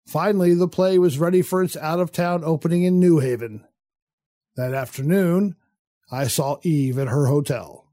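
A man acting in an audio drama as an urbane, sardonic theatre critic with a measured, condescending manner and quiet menace. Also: a little high, no room sound, clean studio sound, close to an everyday voice. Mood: wry recollection. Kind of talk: audio drama acting with first-person narration.

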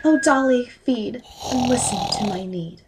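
A woman's voice: on the high side, animated, a little slow, heavy background noise. A woman playing a timid, dutiful young girl in a fairy-tale audio drama, meek and polite. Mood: worried.

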